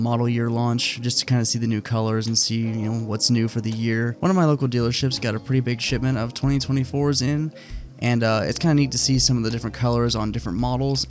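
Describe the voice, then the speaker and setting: A man getting over a cold, chatting casually and opinionatedly in a relaxed, rambling way full of fillers, hosting his own video. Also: mid-range voice. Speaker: motorcycle vlogger. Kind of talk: casual screen-share commentary.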